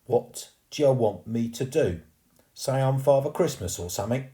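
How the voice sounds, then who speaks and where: A man voicing a rough, cocky boy ghost with a Cockney accent, teasing and slangy. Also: mid-range voice, lively intonation, normal speed, dark tone, pitched above the performer's own voice, natural voice. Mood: annoyed.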